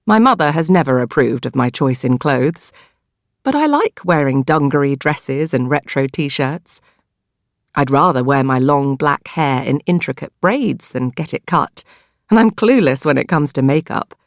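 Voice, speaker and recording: A woman with a British accent narrates a novel in the first person, in a measured, expressive storytelling manner. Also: sits low, no room sound, clean studio sound. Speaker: audiobook narrator.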